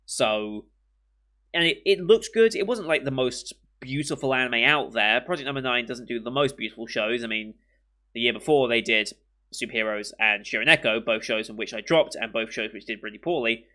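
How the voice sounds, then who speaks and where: British anime youtuber, bit sniffly, bright voice, lots of ums. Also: male voice, mid-range voice, brisk. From Britain. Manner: rambling, with many ums and restarts. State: sniffly with a cold. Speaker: anime reaction host. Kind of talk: casual chat to camera.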